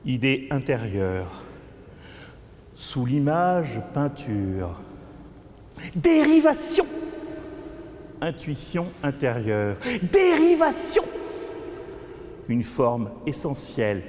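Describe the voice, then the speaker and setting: A man performing avant-garde spoken-word poetry in a declamatory way, swinging between low muttered phrases and high, drawn-out cries. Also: lively intonation, a little mellow. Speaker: poet performing own work. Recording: long cavernous reverb with background noise.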